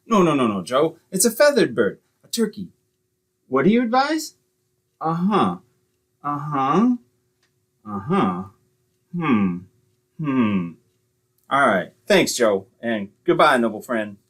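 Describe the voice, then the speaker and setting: An actor plays a small-time schemer in a vintage radio comedy, breezy and wisecracking. His husky, mid-pitched voice bounces around in street slang, cocksure on top and chicken underneath. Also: very animated, takes it slowly, pauses often, a bit bright, full character voice. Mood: cocksure, scheming. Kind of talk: old-time radio situation comedy acting.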